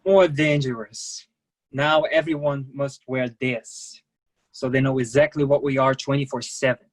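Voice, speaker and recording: An actor in a staged play reading, playing a resigned, candid immigrant massage therapist who speaks in clipped, non-native phrasing, matter-of-factly. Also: male voice, medium pitch, steady tone, a little slow, natural voice. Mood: wary, matter-of-fact.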